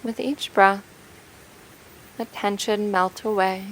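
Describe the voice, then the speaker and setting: Even-toned woman guiding a meditation. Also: mid-range voice, slight background noise, poor audio. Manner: soothing, gentle, unhurried with long pauses. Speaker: meditation guide. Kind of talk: guided meditation narration.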